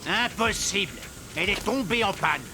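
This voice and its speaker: A man's voice: sits high, expressive, normal speed, over music. A man voicing a sly, mocking trickster in a French cartoon dub. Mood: feigned, mocking.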